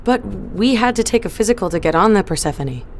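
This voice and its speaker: An actress playing a quiet, resolute young woman on a spaceship crew. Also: medium pitch, animated, a little fast, a little hiss or hum, lightly acted. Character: determined young female spaceship crew member.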